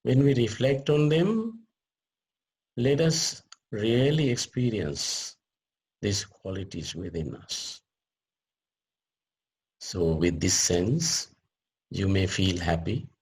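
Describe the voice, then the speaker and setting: Slow, deep, gravelly male meditation teacher. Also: darkish tone. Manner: deliberate, short phrases with pauses. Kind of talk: guided meditation talk.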